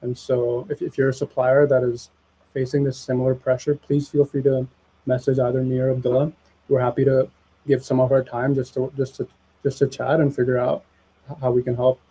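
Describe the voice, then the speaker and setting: A male host leads a casual webinar chat in a flat, husky voice with a mellow tone. He agrees often and breaks into small stammered restarts as he speaks. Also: medium pitch, a little fast, low-quality recording. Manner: agreeable, frequent "yeah" backchannels, some stammering.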